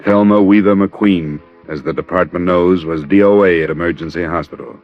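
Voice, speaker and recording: Deep-voiced hard-boiled American radio detective. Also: male voice, moderate pace. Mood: grim, tense. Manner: terse, deadpan. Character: hard-boiled male insurance investigator narrating his case. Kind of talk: 1940s-50s radio crime drama narration. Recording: dull old radio recording, music behind.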